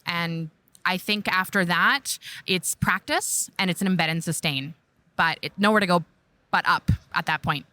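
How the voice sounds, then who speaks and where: A woman's voice: on the low side, measured pace, bright, forceful tone, no room sound. A woman speaking as a panel guest, confident and practical, laying out her points one by one. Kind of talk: panel discussion.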